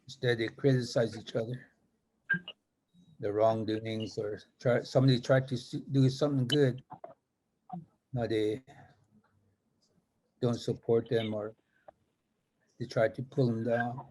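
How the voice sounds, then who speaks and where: An older man whose first language is Lakota, giving a ceremonial prayer and speaking about honoring one another with slow, measured pauses. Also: mid-range voice, fairly even intonation, darkish tone, slight background noise, poor audio. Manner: slow, measured, pausing often. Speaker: spiritual leader giving opening prayer.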